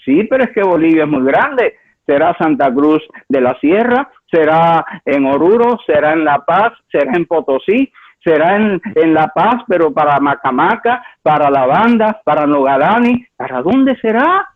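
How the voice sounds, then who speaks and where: Cuban-born pastor preaching over a tinny line. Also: male voice, a little high, animated, normal speed. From Cuba. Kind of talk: sermon.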